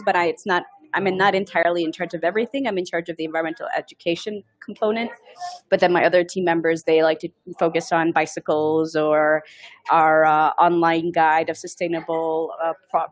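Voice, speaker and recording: A woman casually introducing herself on an online call in a chatty, rambling way. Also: on the low side. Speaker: nonprofit team member.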